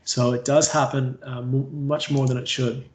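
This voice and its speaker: Hesitant Canadian man, flat and echoey. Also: mid-range voice, normal speed. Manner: hesitant, many fillers and restarts. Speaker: water safety trainer. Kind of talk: staff training webinar presentation.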